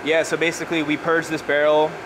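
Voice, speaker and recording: A man in his thirties working as a brewer, explaining barrel filling in a relaxed, casual way on a brewery floor. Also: on the high side, fast talker. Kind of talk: hands-on explanation. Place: large brewery floor with steel tanks, indoors. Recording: clear machinery and air-con noise.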